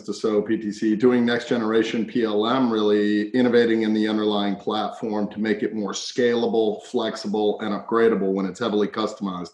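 A man head of strategy introducing his company on a video call panel in a measured way. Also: on the low side. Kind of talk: unscripted self-introduction. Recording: roomy echo.

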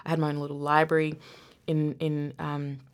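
A woman's voice: low voice, completely flat delivery, moderate pace. A woman who grew up in Zambia, talking reflectively as a guest in a casual interview, often restarting her sentences. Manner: reflective, many restarts and laughs.

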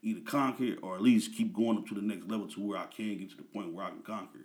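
A man in his thirties with a fairly low voice, chatting casually as an interview guest. He talks in a relaxed, slang-filled way, full of fillers. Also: fast. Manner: laid-back slang-heavy talk with frequent fillers.

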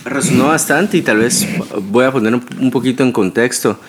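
Young guy on a podcast telling a story, lots of restarts, middle pitch. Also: normal speed, noisy background. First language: Spanish. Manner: restarts often, animated storytelling. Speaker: podcast guest. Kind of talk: casual chat.

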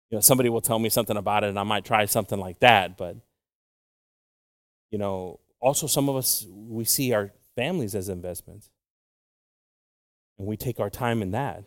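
A man's voice: fairly low pitch, pushed and bright, no room sound, studio quality. A Mexican American man preaching a sermon to his congregation, moving between English and Spanish and joking along the way. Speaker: pastor. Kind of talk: bilingual sermon.

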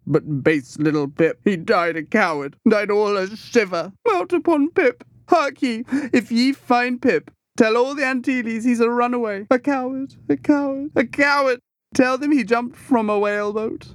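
A man's voice: high-pitched, no room sound, studio quality, voice pushed up. A man voicing a mad young cabin boy in an audiobook, grieving and frenzied, with wild chanting and repeated laments.

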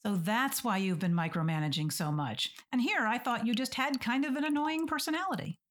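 A woman playing a blunt but soft-hearted podcast co-host in an audio drama, teasing and expressive. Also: a little high, a little fast, lightly acted. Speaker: podcast announcer character in a framing skit. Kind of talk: scripted audio drama dialogue.

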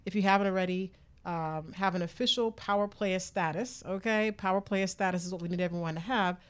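A woman's voice: medium pitch, steady tone, fairly quick, clean tone. Chatty woman hosting talk radio. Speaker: radio show host.

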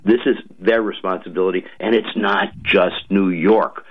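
A man, a lawmaker guest on a radio show, speaking slowly with many ums and uhs. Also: sits low, steady tone. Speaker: state legislator guest. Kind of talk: radio interview, policy talk. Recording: muffled lo-fi radio audio.

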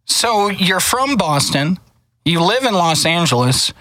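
A man's voice: sits high, sing-song, slow, pushed and bright, no echo, rough recording. A man hosting a show and interviewing a guest, with upbeat, announcer-like introductions. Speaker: radio show host.

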